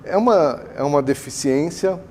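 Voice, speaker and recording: Brazilian man, consultant guest on a TV talk show, warm mellow tone, lively anecdotes, faint hiss. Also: on the high side, moderate pace. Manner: animated, chatty, acts out client dialogue. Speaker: international education consultant. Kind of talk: TV interview answers. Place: TV studio.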